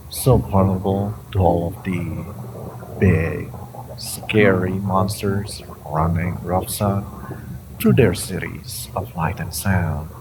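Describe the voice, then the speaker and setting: A man playing a sinister, inhuman mind-reading interrogator in an audio roleplay, theatrical, mocking and menacing, with a gravelly voice and drawn-out phrasing. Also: deep voice, background noise. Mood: musing, sinister. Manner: theatrical, taunting, drawn-out phrasing with pauses and whispers. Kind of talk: dramatic audio roleplay monologue to the listener.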